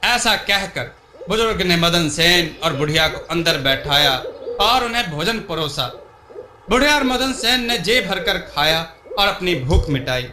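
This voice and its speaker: A man's voice: sits high, lively intonation, unhurried, roomy sound, crowd noise. A man narrating a scripted folk tale as a storyteller.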